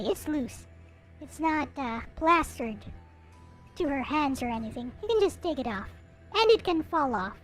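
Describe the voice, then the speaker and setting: A woman giving a casual, playful show-and-tell commentary as a streamer presenting collectibles. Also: high-pitched. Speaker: streamer showing collectible anime figures. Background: music.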